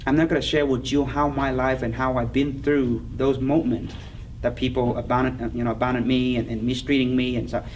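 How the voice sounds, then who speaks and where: A middle-aged man with a Vietnamese accent, speaking earnestly in an oral history interview, halting, with many fillers. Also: mid-range voice, flat delivery. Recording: distant mic with clear background noise.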